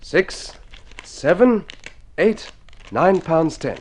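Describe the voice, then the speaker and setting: Gentle young artist husband in an old radio play, earnest, hesitant, very expressive pitch. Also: male voice, very slow, a little hiss or hum, exaggerated voice. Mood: guarded, earnest. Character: struggling young painter husband, gentle, proud, evasive. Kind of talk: mid-century radio drama acting.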